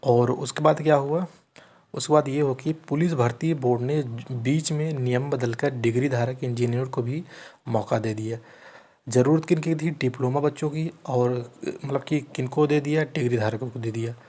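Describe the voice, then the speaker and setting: A man with a mid-pitched voice explains recruitment news. He reads aloud, then breaks it down in a teacherly manner, often restating points and checking that listeners follow. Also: normal speed, darkish tone. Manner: repeatedly rephrases and checks understanding, teacherly. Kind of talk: informal explainer, reading news aloud and commenting.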